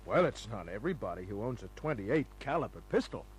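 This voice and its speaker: An actor playing a hard-boiled private detective, laconic, breezy and sardonic, in the manner of old-time radio drama. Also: male voice, on the low side, very animated, fast, breathy edge. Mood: casual, needling. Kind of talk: old-time radio acting and first-person narration. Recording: noisy humming old radio recording.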